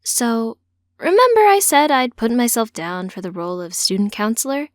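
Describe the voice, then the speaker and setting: A woman's voice: fairly high pitch, expressive, normal speed, dry recording, clean studio sound, natural voice. A woman voicing an earnest, slightly sheepish grown daughter in an audiobook story. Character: earnest young transgender woman, a teacher, confiding in mother.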